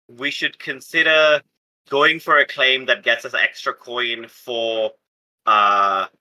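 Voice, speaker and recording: A man's voice: medium pitch, little pitch movement, slow, bright, forceful tone, rough recording. A man playing a tabletop game, chatting casually about strategy with the group.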